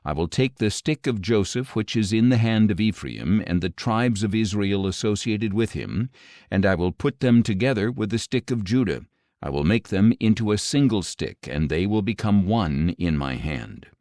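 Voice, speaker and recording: A man voicing a commanding, solemn God speaking prophecy in an audio Bible reading, grave, declamatory and measured. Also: lowish voice, lively intonation, a little mellow, dry recording, studio quality, lightly acted. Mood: solemn, promising.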